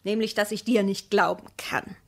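An actress playing a distrustful wife in a bitter marriage, sharp-tongued, accusing and sarcastic, in a radio play. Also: female voice, medium pitch, steady tone, moderate pace, natural voice.

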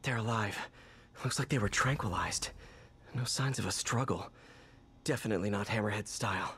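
An actor playing a young, quippy, curious masked superhero in a video game, with an American accent and casual delivery. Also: male voice, lowish voice, moderate pace, sharp tone, slightly rough, exaggerated voice. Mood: focused, curious. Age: young adult. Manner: wisecracking, casual.

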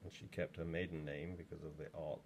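A man's voice: extremely low pitch, little pitch movement, fairly quick, vocal fry, background noise. A man in his sixties from England, chatting in a rambling way with plenty of ums while working in a pottery studio, recorded from a camera just over his shoulder. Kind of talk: casual demo talk while working.

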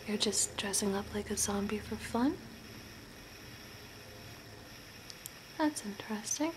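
An eerie, courteous zombie woman, played by a woman in an ASMR role play. She speaks in a breathy near-whisper, rather high, with slow, deadpan delivery. The amateur home recording is close up, with clear background noise. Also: close to an everyday voice, rough recording. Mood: curious, deadpan. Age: young adult. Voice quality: soft, breathy, mostly whispered. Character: eerie, courteous female zombie makeover artist, hungry, deadpan. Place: small curtained room at home, indoors. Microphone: close to the camera.

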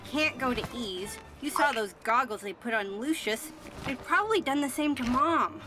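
A woman voicing a serious, cautious teenage girl superhero in an animated game. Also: sits high, moderate pace, rough recording. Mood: worried. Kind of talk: animated film game dialogue. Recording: loud music behind the voice.

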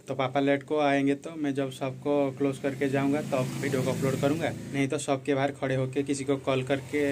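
A young man talks through his day in a relaxed, rambling way into a handheld phone. His delivery stays flat and even. Faint background noise sits behind the voice. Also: mid-range voice. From Odisha, India. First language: Odia. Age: young adult. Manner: relaxed, rambling, many fillers. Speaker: vlogger. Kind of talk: casual daily vlog narration. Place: small village shop and outdoors. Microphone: phone camera at arm's length.

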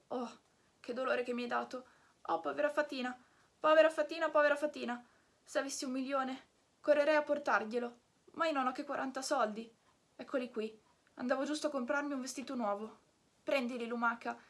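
An eager wooden puppet boy , put on by a woman reading aloud, speaks in a high, breathy voice. He rushes from one question to the next, full of warm-hearted urgency, as in a storybook. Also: steady tone. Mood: anxious.

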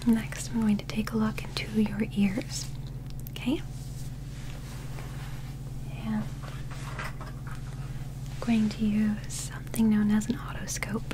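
A young woman whispering gently and unhurriedly in an ASMR medical roleplay, playing an examiner close to the microphone. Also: medium pitch, level tone, slow pace, pauses often, background noise. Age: young adult. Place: small indoor set.

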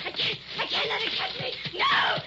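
High-voiced frightened wife in old radio horror. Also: female voice, wildly swooping pitch, normal speed, raised voice, music in the background, exaggerated voice. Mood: terrified, frantic. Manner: breathless, melodramatic, hesitant repetition. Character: frightened young wife, desperate and trusting. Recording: noisy muffled vintage radio recording.

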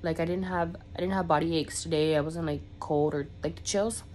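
A woman's voice: low-pitched, steady tone, brisk, a little mellow, a little hiss or hum. A young woman, congested from a cold, rambling casually in a vlog with lots of fillers. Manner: rambling, filler-heavy, chatty. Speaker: vlogger.

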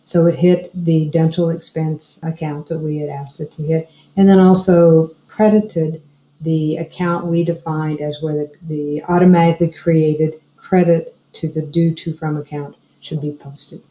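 A woman explaining software step by step as a trainer narrating a screencast tutorial. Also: sits low, flat delivery, a little slow. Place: indoor room, screen recording voice-over. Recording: dull, roomy sound.

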